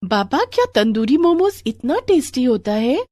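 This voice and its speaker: A woman voicing a caring, responsible young daughter in a moral story, gentle and reassuring. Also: high voice, very animated, moderate pace, no echo, voice pushed up. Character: caring, responsible young daughter looking after her family.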